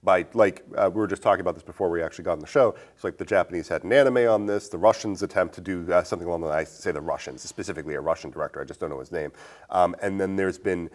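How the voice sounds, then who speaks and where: Male podcast host, slightly deep, rapid delivery, informal interview chat about movies. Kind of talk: casual interview-style film discussion.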